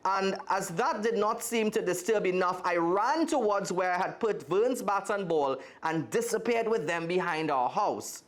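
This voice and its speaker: A man's voice: high voice, expressive, normal speed, few pauses. A man with a Trinidadian accent reading a children's story aloud expressively and speaking directly to young listeners. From Trinidad and Tobago. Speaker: guest storyteller.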